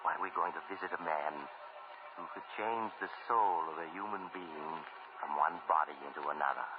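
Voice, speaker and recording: An actor playing a sinister, genteel traveler who narrates a 1940s radio drama in an ominous, insinuating, unhurried manner. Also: male voice, low voice, close to an everyday voice. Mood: ominous, inviting. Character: sinister, genteel male mysterious traveler storyteller. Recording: muffled 1940s radio recording. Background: music.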